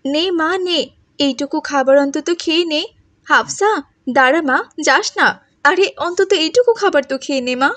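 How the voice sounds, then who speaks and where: A woman voicing a kind, patient stepmother in an animated moral story, tender and coaxing. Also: high-pitched, normal speed, dry recording.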